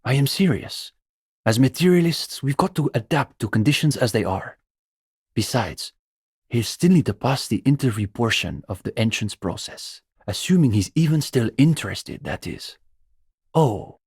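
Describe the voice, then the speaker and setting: An actor playing an earnest, pretentious young communist student intellectual with a bookish, opinionated way of talking, in a video game. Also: male voice, medium pitch, animated, a little slow, darkish tone, slightly rough, no room sound, studio quality, full character voice. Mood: politely welcoming. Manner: bookish, opinionated, academic phrasing. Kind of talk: video game character dialogue.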